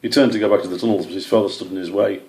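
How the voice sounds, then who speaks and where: Low, creaky-voiced man reading a story. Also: fairly even intonation, racing, mellow, echoey room. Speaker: author or story reader. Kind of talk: scripted story narration.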